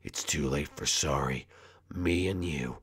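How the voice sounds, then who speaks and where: A cool, menacing cartoon hedgehog, acted by a man in a very low, gravelly register. He is surly and boastful, speaking in curt threats. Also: a little slow, a bit bright, exaggerated voice.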